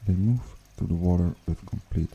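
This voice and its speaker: A man guides a meditation in a very mellow, breathy, husky voice with some creak at the edges. He speaks softly and slowly in an ASMR style, pausing gently between short phrases. Water trickles behind him. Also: low-pitched, highs cut off. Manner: hushed, unhurried, with gentle pauses. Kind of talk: ASMR guided meditation, slow soothing narration. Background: pond water bubbling and trickling.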